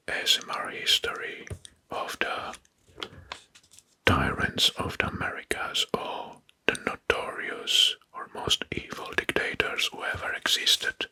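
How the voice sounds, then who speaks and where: A man narrating soft-spoken ASMR in a breathy, husky whisper, deliberately repeating names and phrases. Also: low voice. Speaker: host of an ASMR history channel.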